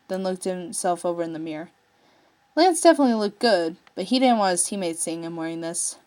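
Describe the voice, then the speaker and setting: A woman reading fiction aloud as an amateur storyteller, with an expressive, emphatic and slightly stumbling delivery. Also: fairly low pitch. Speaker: amateur fan fiction reader.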